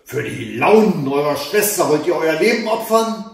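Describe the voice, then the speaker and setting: A man voicing a stern but compassionate old monk in a fairy tale, deliberate and admonishing. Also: fairly high pitch, expressive. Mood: angry. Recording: echoey room, mic at a distance.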